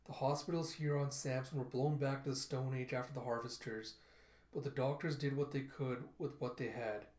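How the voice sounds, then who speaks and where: A man's voice: mid-range voice, fairly even intonation, normal speed, darkish tone, reverberant, lightly acted. A man playing a protective, worried man in dramatic storytelling dialogue, pleading with an old companion. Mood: tender, worried.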